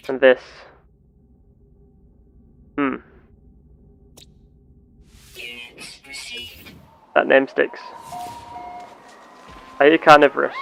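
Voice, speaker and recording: A man playing a genteel space captain and explorer, speaking in formal, measured, old-fashioned wording as he narrates a game in character. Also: on the high side, vocal fry, a bit bright, slight background noise, heavily acted. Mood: curious, delighted.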